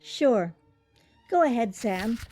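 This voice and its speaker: A woman's voice: on the high side, animated, slow pace, dark tone, dry recording, faint music behind. A woman acting in a radio play as an airy, loyal, easily distracted friend at a game, breezy and innocent-sounding. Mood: coy, curious.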